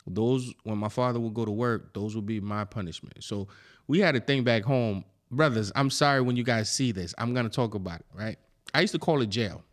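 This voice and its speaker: Male podcast co-host, grew up in Haiti, mid-pitched, blunt and animated storyteller. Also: a little fast. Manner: animated storyteller, swears freely. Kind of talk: casual chat.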